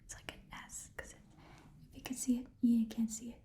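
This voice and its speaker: A woman's voice: on the high side, flat delivery, a little fast, mellow, close to an everyday voice. Woman as a whispering, rambling goblin shopkeeper. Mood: absorbed, muttering. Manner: rambling, casual, muttering, slangy. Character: small, sly, blunt goblin pawnshop keeper. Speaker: ASMR performer playing a shopkeeper. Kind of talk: ASMR roleplay, whispering.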